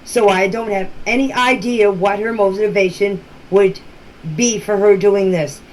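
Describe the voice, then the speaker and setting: A woman giving informal explanations in voice messages, speaking in a plain, deliberate, matter-of-fact way. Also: mid-range voice, steady tone, a little mellow. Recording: noisy amateur voice-message recording.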